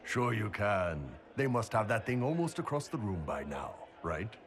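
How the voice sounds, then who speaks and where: An actor playing a gruff, laid-back male mercenary chief who answers in a deep, deadpan drawl. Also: normal speed, a faint crowd.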